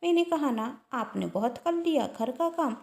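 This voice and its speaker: A woman's voice: sits high, lively intonation, moderate pace, vocal fry, a little mellow. Woman acting a sly, sweet-talking elder sister-in-law, echoey. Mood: falsely caring. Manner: falsely caring, self-righteous. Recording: roomy echo.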